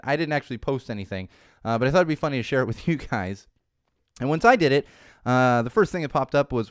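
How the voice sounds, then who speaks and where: Podcast host, young adult man from Southern California; wry, rambling monologue with restarts, smooth resonant voice in a dry booth. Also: mid-range voice, no echo. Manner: rambling, wry, self-deprecating, frequent restarts. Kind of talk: solo podcast monologue on social trends. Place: home studio.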